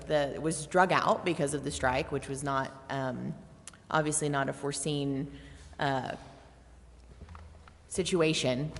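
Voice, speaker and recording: A woman's voice: low-pitched, gravelly. A woman speaking informally as a council member at a public meeting. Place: city council chamber. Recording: reverberant meeting chamber.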